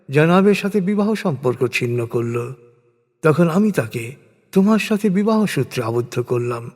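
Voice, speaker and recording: A man reading translated scripture as a solemn, scripted narration, in formal, measured phrases with frequent pauses. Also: on the high side, darkish tone. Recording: long hall-like reverb.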